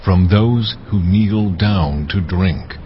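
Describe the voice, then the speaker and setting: A man's voice: low voice, slight background noise. An actor playing God in a dramatised audio Bible, a solemn male deity who speaks with weight and command. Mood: solemn assurance. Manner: slow, weighty, deliberate delivery. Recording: muffled audio under music.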